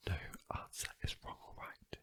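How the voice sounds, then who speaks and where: A man speaking in a soft, breathy whisper, gently guiding and reassuring in an ASMR clinic roleplay. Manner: slow, gentle, reassuring, asking for feedback. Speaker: ASMR performer playing a sleep clinic tester.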